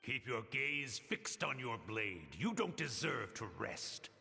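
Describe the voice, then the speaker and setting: A man's voice: mid-range voice, very animated, slow pace, vocal fry, sharp tone, heavily acted. A man voicing a cold, merciless inner voice of a stern teacher, in a game scene with hall reverb.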